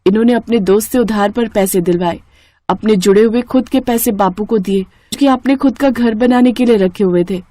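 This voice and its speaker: A woman's voice: mid-range voice, moderate pace, dark tone, no room sound, natural voice. A woman voicing a dutiful, grateful young village bride, polite and earnest, in a cartoon moral story.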